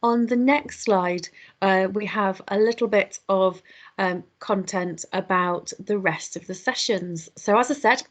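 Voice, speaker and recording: A woman with a slightly croaky voice, hosting an online webinar and welcoming attendees in a chatty way, with lots of ums and quick self-corrections. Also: mid-range voice. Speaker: webinar host. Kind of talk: welcoming an online audience, housekeeping.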